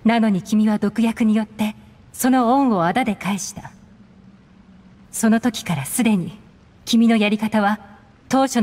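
A woman voicing a cool, shrewd female agent in an anime game, composed, measured and pointed. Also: mid-range voice, a little mellow, close to an everyday voice. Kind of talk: anime video game voice acting. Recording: long hall reverb, game music behind. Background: background music.

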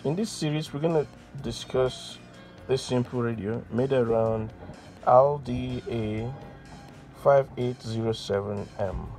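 A man's voice: medium pitch, a little slow, darkish tone. A young man hosting an electronics channel, talking through a project demonstration in voice-over. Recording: music behind the voice. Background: music, radio playing.

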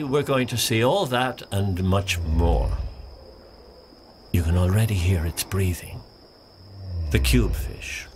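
An actor playing a pompous, excitable male nature documentary presenter in a mock-serious animated short, theatrical and self-important. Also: low voice, a little hiss or hum. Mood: hushed wonder. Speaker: narrator. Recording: music bed behind the voice.

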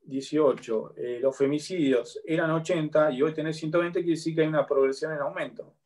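Argentine guy in his 30s teaching a class over a webcam from home, slightly high voice, keeps asking "right?". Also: steady tone, a little fast, darkish tone. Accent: Argentine Spanish. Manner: explanatory, checks in with a frequent "¿sí?". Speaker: law lecturer. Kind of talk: online university lecture. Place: home room with bookshelf. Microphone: laptop webcam on a video call.

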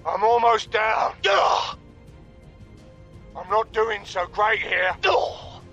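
An actor voicing a tough, sardonic middle-aged English gunman in a video game, with a rough, gravelly voice, blunt and slangy in short combat lines. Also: high-pitched, expressive, slow pace, pushed and bright, raised voice, dull audio, full character voice. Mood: pained. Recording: game audio over faint music.

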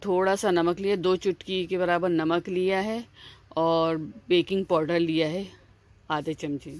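A woman's voice: fairly low pitch, fairly even intonation, measured pace, darkish tone, no echo. A woman hosting a home cooking video, explaining each step in a chatty, repetitive way with frequent fillers and restarts. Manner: chatty, repeats herself, many "aa" fillers and restarts. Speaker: cooking channel host. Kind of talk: casual step-by-step cooking instructions.

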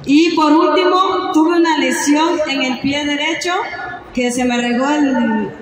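A woman's voice: sits high, fairly even intonation, measured pace. A woman from Puebla giving an earnest testimonial to an audience. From Puebla, Mexico. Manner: earnest, emphatic. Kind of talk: public testimonial. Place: large hall. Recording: echoey hall from far off, noise.

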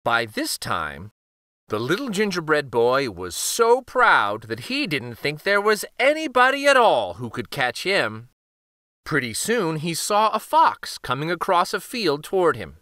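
Lively man reading a picture book aloud. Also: fairly high pitch, no room sound, studio quality. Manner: expressive, deliberate storytelling. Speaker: children's book reader. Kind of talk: storybook read-aloud.

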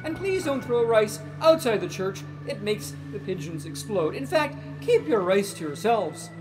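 A middle-aged man playing a weary, deadpan parish priest in an improvised soap opera, dry and solemn. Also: high-pitched, normal speed, over music, low-quality recording.

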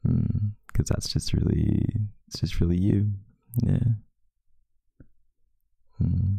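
A man playing a sleepy, teasing, affectionate boyfriend in an intimate ASMR roleplay, murmuring drowsily with husky breath and hesitant pauses, close to the mic. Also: low-pitched, steady tone, mellow, dry recording, full character voice. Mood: drowsy, teasing. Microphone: close mic, dry.

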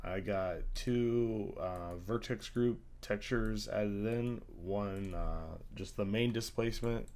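A young man with a strained, congested voice after being sick, talking through a screen-recorded software tutorial at his desk, pausing often with "uh". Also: fairly low pitch, clean tone. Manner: hesitant, many "uh" fillers. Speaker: tutorial creator.

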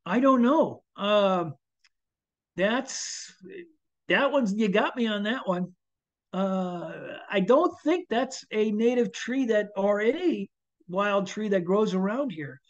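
A man's voice: sits high, animated, slow pace. An older man, a chef, answering audience questions on a video call, hesitant with many restarts and fillers. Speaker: chef and foraging instructor. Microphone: video call webcam. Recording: dull lo-fi video call audio.

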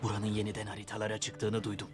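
A man voicing a young, friendly, confident race car in a dubbed animated film, speaking casually and sincerely. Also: lowish voice, fast, close to an everyday voice. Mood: playful, fond. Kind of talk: animated film dub acting. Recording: loud film music behind.

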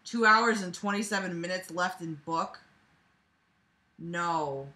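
Bright, sharp-voiced sarcastic young woman. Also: lowish voice, takes it slowly. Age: young adult. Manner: sarcastic, profane, exasperated. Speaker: vlogger reading a book aloud. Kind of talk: read-aloud with casual running commentary. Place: small bedroom, lying on a bed. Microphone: device camera microphone at arm's length.

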